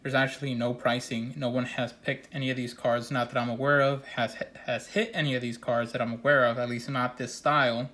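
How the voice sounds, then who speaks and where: A man with a slightly stuffy, nasal voice from congestion walks through his trading card pulls. His delivery stays level and even, with little rise and fall. He talks earnestly, pausing on fillers and restarting sentences. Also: mid-range voice. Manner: steady, earnest, repeats opinions with many fillers. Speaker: card collecting channel host. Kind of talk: casual card showcase vlog.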